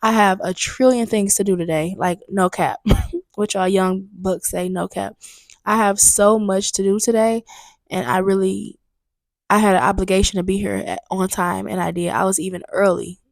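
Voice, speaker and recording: A woman's voice: mid-range voice, fairly even intonation, normal speed, vocal fry, dry recording. Young woman, podcast host, candid venting chat, slightly stuffy, dry close mic. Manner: candid, chatty, venting with emphatic repetition. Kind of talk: solo casual podcast talk. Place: booked studio room.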